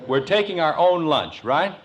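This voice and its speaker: A man's voice: fairly high pitch, very animated, normal speed. An actor playing a sarcastic, exasperated middle-aged American suburban father in a 1950s radio sitcom. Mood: suspicious, sardonic. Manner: dry sarcasm, sputtering indignation. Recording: noisy old radio broadcast recording.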